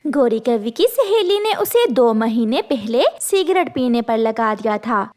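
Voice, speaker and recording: A woman's voice: fairly high pitch, no echo, studio quality. A woman narrating a scripted children's cartoon story.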